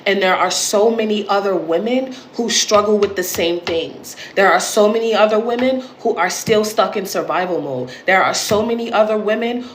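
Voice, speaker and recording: A woman with a deep voice, talking straight to camera in a blunt, emphatic monologue with plenty of swearing. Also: normal speed, hardly any pauses, slight background noise. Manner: blunt, emphatic and profane, with repeated punchy phrases. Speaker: online creator and educator. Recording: roomy echo.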